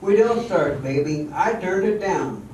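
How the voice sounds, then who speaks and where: Man playing a high-voiced breezy showman, echoey room. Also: animated, a little slow. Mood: offhand. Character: breezy, hearty showman, everybody's best pal. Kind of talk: amateur stage radio drama acting. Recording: echoey hall from far off, faint noise.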